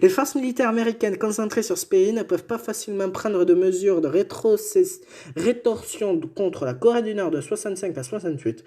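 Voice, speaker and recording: A man with a mellow, dark voice reads history notes aloud in a relaxed chat. He often stumbles, restarts words and drops joking asides between facts. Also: sits high, a little fast. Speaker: co-host telling history. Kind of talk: casual reading of history notes.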